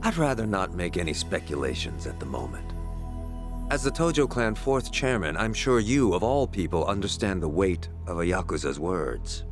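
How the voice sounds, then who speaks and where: An actor playing a composed, formidable yakuza officer in a game cutscene, formal, controlled and deliberate. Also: male voice, low voice, animated, over music. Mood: cool, courteous. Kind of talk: video game cutscene acting.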